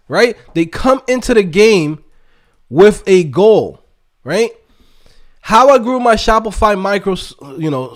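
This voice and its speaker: A man with a high-pitched voice gives a casual motivational rant to his online viewers. His intonation swings widely as he talks. He is emphatic and streetwise, leaning on slang and checking in with short tag questions. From Dominican Republic. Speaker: YouTube host and developer coach.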